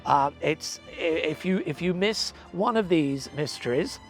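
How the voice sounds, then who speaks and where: A man with a gravelly, husky voice, chatting haltingly in an interview. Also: a little high, lively intonation, soft background music. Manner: halting, repeats words while searching. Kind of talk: interview chat.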